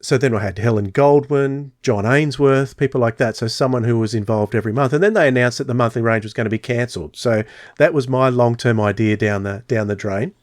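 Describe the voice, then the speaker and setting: A man's voice: medium pitch, brisk, no echo, studio quality. A man hosting a podcast, chatting casually and telling stories with many fillers. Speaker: podcast host.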